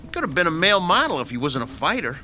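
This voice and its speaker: A man's voice: on the high side, very animated, quick pace, sharp tone, heavily acted. An actor playing a hungry, grumbling male mechanic sidekick with a whiny, joking way of talking in a radio drama. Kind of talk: 1960s-style radio drama acting.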